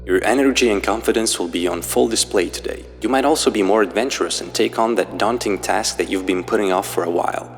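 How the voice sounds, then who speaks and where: Low-voiced man narrating an analysis video. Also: quick pace, few pauses, soft background music. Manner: explanatory, addresses viewers directly. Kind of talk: scripted analysis narration with read-out quotes.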